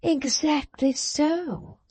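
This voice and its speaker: Female reader playing a scheming wife who gushes; slow, mellow, pleading lines. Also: a little high, lively intonation, slightly rough, no echo. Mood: confiding. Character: gushing, scheming society wife, adult woman. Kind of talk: audiobook character voice.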